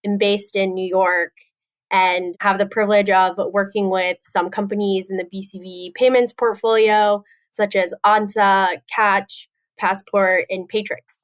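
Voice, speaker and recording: A young woman who grew up in Texas, giving orderly, clear answers as a podcast guest. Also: medium pitch, flat delivery, unhurried. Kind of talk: interview answers.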